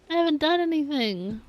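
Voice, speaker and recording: A woman chatting casually on a game live stream, rambling and giggly as she talks to viewers. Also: high-pitched.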